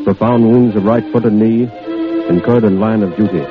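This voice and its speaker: An actor playing a formal army medical officer giving a clinical read-out in a 1930s radio drama. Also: male voice, on the low side, fairly even intonation, moderate pace, narrow, tinny audio, close to an everyday voice. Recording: thin old radio recording. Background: loud music.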